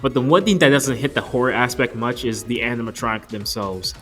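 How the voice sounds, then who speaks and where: A man's voice: mid-range voice, a little fast, a bit bright, faint music behind. Young man giving an informal movie review. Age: 20s. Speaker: video reviewer. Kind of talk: opinionated scripted movie review narration.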